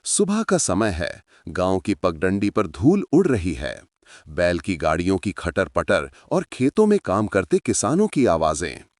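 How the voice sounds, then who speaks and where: A man's voice: low-pitched, wildly swooping pitch, measured pace, no echo, studio quality. A man narrating a story in a dramatic scripted style, speaking to his listeners as friends.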